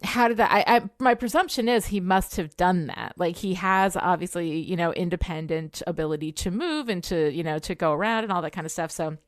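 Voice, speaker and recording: Female podcast co-host, clear smooth voice, conversational and analytical, tag questions, recorded dry and close. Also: lowish voice, fairly quick, hardly any pauses, no room sound. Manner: conversational, frequent "you know" and "right?" tags. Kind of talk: casual analytical TV discussion.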